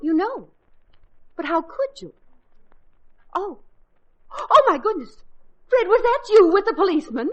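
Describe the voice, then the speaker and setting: A placating middle-aged wife and mother from a vintage radio comedy. Her high voice swings up and down in fluttery little exclamations. The sound is dull, like an old broadcast. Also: sing-song, measured pace, pauses often, full character voice. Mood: surprised. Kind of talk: old-time radio situation comedy acting.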